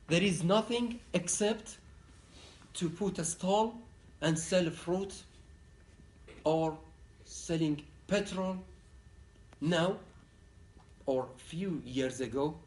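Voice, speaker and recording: A man from Ahwaz gives a conference lecture as a human rights activist. He speaks in earnest, halting English. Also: fairly high pitch, darkish tone. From Ahwaz, southwestern Iran. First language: Arabic. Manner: earnest, plain-spoken, halting non-native English. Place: university lecture room. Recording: echoey room, picked up from a distance.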